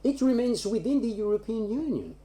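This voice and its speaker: A man's voice: very high voice, animated, fairly quick, warm tone. A man speaking as an academic panelist in a round-table discussion, repeating words for emphasis.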